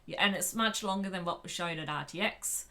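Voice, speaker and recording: A woman's voice: lowish voice, fairly quick, bright, forceful tone. A woman co-hosting a podcast in a casual fan discussion. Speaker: podcast co-host.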